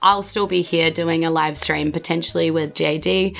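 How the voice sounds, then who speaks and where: A woman's voice: low voice, steady tone, moderate pace, gravelly, fairly bright tone. A woman with a New Zealand accent hosting a tabletop podcast as game master, chatty and upbeat. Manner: chatty, upbeat, thanks listeners at length. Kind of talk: casual podcast chat and narration.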